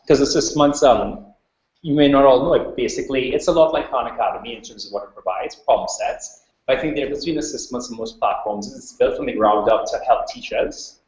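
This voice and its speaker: A man's voice: mid-range voice, fast. A man with a Trinidadian accent giving an academic talk, flowing along with many ums. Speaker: invited speaker, researcher. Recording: roomy, dull lo-fi recording.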